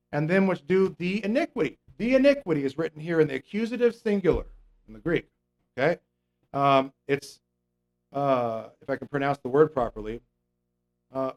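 A man's voice: a little high, darkish tone, poor audio. A man preaching a conversational Bible teaching sermon, explaining scripture and often checking in with "okay?" and fillers. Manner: mocks imagined religious people in a raised voice.

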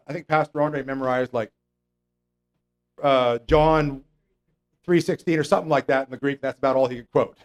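A man preaching a conversational Bible teaching sermon, explaining scripture and often checking in with "okay?" and fillers. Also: medium pitch. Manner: mocks imagined religious people in a raised voice.

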